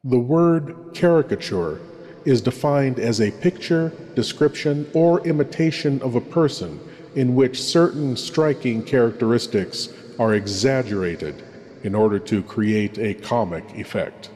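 A man plays a spooky lord who hosts old-time radio horror. He speaks very slowly and theatrically, every phrase ominous. His voice rings in a long, reverberant hall. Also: medium pitch, darkish tone, close to an everyday voice. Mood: ominous, formal. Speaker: horror show host.

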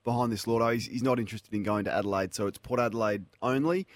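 A man with an Australian accent hosting a sports radio show, delivering news and reading out lists briskly. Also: lowish voice, dry recording.

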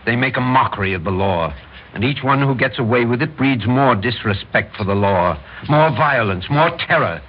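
An actor with an American accent playing a domineering, scheming middle-aged political boss, smooth and insistent, in classic radio drama style. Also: male voice, mid-range voice, expressive, normal speed. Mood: stern, insistent. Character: domineering, scheming older political power broker, the Major. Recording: muffled old radio recording, faint hiss.

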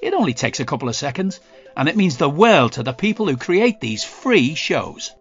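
An upbeat man reads a scripted podcast outro in a fairly high, lively voice. Faint music plays behind him, and the sound is slightly dull. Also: normal speed, husky. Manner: upbeat promotional read. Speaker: network announcer.

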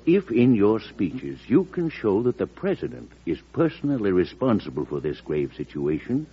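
A scheming political ally with a deep, expressive voice. He is earnest and persuasive, in old radio drama style with a dull, muffled sound. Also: moderate pace, husky, full character voice. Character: earnest, scheming political supporter flattering and prodding a senator. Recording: dull vintage radio recording.

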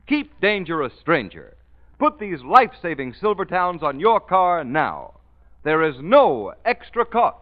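A man announcing in the formal, emphatic style of 1930s radio, with a salesman's delivery. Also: sits high. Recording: tinny 1930s radio broadcast recording.

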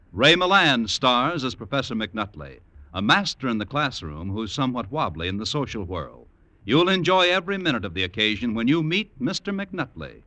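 Bright, animated male radio announcer. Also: medium pitch, no echo. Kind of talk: scripted 1950s radio promo announcing.

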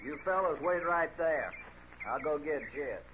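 An actor playing a hostile, curt range boss in a radio Western, with a rural American accent. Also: male voice, a little high, animated, measured pace. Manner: curt, threatening. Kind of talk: 1950s radio Western acting. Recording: muffled, noisy 1950s radio recording.

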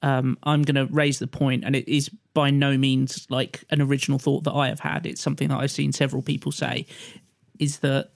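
A man with a gravelly, creaky voice at a medium pitch makes his point in a casual podcast discussion. He is hesitant, circling back as he goes. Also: flat delivery, brisk, no room sound, studio quality. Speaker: podcast panellist.

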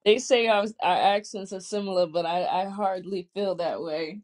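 A woman with a Boston accent, chatting casually and answering with quick, supportive affirmations. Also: medium pitch, darkish tone. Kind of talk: casual chat.

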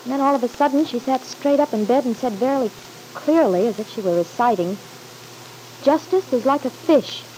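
A woman's voice: sits high, moderate pace, mellow, close to an everyday voice, poor audio. An actress playing a guarded, wisecracking young woman in an old-time radio soap opera, with slangy, breezy talk. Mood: concerned, chatty. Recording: hissy vintage radio recording.

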